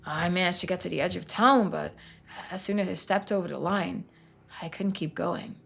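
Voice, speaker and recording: A woman voicing a troubled young man trapped in his town, speaking haltingly and sometimes in a near whisper, for a story game. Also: fairly low pitch, fairly even intonation, gravelly, a bit bright, breathy edge, exaggerated voice. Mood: anxious, haunted.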